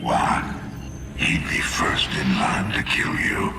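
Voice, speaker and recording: A man's voice: very deep voice, lively intonation, takes it slowly, loud music behind the voice, full character voice. A man playing a smug, threatening tyrant in a game, with an imperious, gloating manner and an echoing, distant sound.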